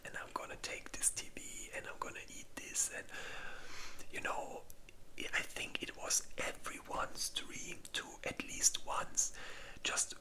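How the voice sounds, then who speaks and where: An ASMR host speaking entirely in a breathy whisper, chatting casually and informally with rambling, hesitant pauses. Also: sharp tone, poor audio. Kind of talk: whispered ASMR movie ranking, casual chat.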